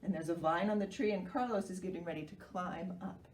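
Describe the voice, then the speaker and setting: An American woman presenting a children's storytime in an animated way, explaining simply and asking young children questions. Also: lowish voice, fairly quick. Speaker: children's library storytime host. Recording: roomy, distant microphone, faint noise.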